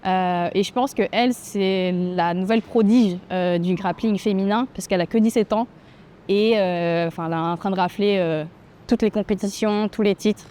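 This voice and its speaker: A woman in her twenties from French Guiana, a grappling athlete answering interview questions in a thoughtful, hesitant way with frequent pauses and fillers. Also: mid-range voice, steady tone, dark tone, slight background noise. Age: 20s. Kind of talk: documentary interview.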